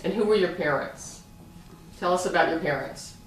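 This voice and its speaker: A woman conducting an oral history interview, gently prompting and often rephrasing her questions. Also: lowish voice, moderate pace. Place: room at a community center. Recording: echoey room, distant mic, faint hiss.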